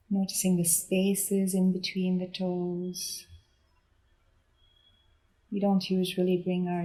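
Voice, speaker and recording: A woman guides a meditation very slowly and gently. Her voice sits a little low, with a soft, mellow tone. She leaves deliberate pauses between short, unhurried phrases. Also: rough recording. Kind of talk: guided body scan meditation.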